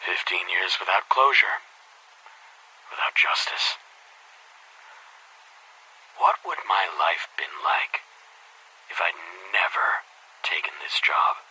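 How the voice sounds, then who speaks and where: An actor playing a weary, embittered middle-aged school headmaster in a video game monologue, speaking in a formal, measured, brooding way with a husky voice. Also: male voice, pushed and bright, a little hiss or hum, full character voice, rough recording. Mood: bitter, regretful. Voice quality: husky, breathy low voice.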